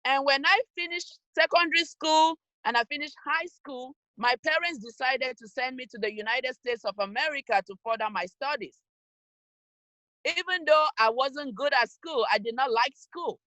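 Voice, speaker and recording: A woman speaks high and bright straight to young listeners. She presses each point with firm, scolding emphasis. The sound is close and dry, coming through a video-call mic. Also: normal speed, no room sound, rough recording. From Cameroon. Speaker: youth program speaker. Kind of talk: motivational talk to young people.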